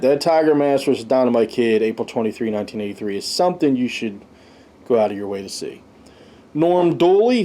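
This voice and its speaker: A man's voice: medium pitch, mellow. A man hosting a review show, casually reading out lists and commenting on them in an informal way. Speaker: host of a wrestling review show.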